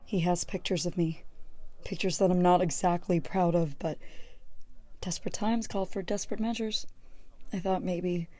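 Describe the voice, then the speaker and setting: A woman's voice: lowish voice, steady tone, normal speed, pauses often, dark tone, dry recording, natural voice. An actress playing an alluring, composed saloon showgirl who speaks in a sultry, poised and playful way. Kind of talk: audio drama acting.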